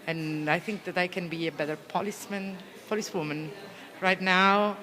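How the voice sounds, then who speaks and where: Italian policewoman, halting, echoey hall. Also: fairly low pitch, fairly even intonation, bright, forceful tone. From Italy. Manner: halting, searching for words, many restarts. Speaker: police officer. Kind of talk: interview answers, conference delegate.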